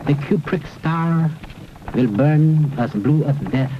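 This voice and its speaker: Male narrator for solemn poetry in a documentary: slow, deliberate, dramatic phrasing, on a muffled, noisy vintage soundtrack. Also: a little high. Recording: dull 1970s film soundtrack, clearly noisy.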